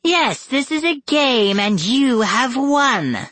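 Man putting on a high, mock-sweet villainess. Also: big pitch swings, takes it slowly, a bit bright, no echo, exaggerated voice. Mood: gloating. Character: sweetly smiling, deadly frail-looking woman villain, a game character.

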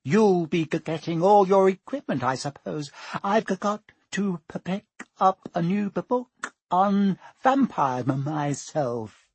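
A man's voice: high-pitched, lively intonation, measured pace, darkish tone, breathy edge, no echo, voice pushed up, exaggerated voice. Man doing a stammering, nervous young teacher. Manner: stammering, timid.

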